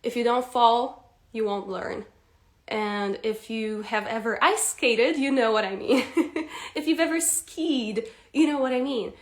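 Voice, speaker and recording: Lively woman coaching on a live stream. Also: on the high side, measured pace. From Poland. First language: Polish. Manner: lively, laughs often, addresses viewers directly. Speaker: English accent coach. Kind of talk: live-stream teaching chat. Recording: echoey room.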